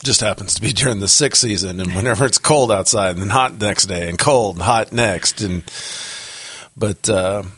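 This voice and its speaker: A man with a low, hoarse voice chats casually as a podcast co-host, circling his points with fillers and false starts. The sound is close and dry, as if recorded in an office. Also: normal speed, fairly bright tone, dry recording. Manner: rambling, many fillers and restarts. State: hoarse from a cold. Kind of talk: casual chat.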